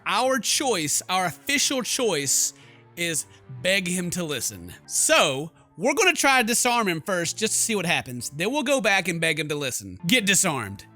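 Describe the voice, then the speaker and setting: A man streams a video game with a high, bright voice. He reads the story text dramatically and breaks into slangy, excitable asides. Music plays softly behind him. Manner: jokey, theatrical, excitable, slangy exclamations. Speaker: gaming streamer. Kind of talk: let's play commentary with dramatic reading of game text.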